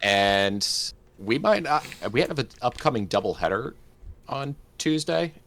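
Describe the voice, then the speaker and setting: A man's voice: medium pitch, animated, measured pace, vocal fry, a bit bright. A man chatting casually as a podcast co-host. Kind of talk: casual chat.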